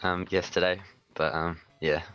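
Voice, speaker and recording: A man co-hosting a casual podcast chat, sick and partly hoarse, talking with hesitant, stammering restarts. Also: sits low, flat delivery, a little slow, fairly bright tone, dull audio, soft background music. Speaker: podcast co-host.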